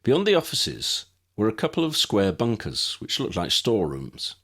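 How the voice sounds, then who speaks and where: Deep-voiced man narrating, very lively pitch. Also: normal speed. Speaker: audiobook narrator. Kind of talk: scripted fiction narration with character voices.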